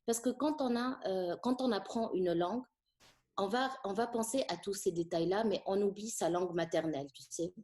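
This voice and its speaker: A middle-aged woman from Tunisia whose first language is Arabic, a French teacher speaking thoughtfully in a conversational interview, pausing often with fillers. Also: mid-range voice, darkish tone. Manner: thoughtful, hesitant with frequent fillers.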